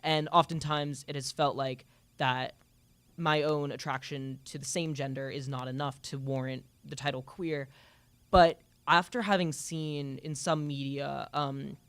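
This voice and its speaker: A man's voice: mid-range voice, fairly even intonation, unhurried, a bit bright, clean tone, no room sound. A teenage boy speaking on a student panel, earnest and reflective, with hesitant fillers. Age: teens. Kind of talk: panel discussion answer. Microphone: handheld microphone.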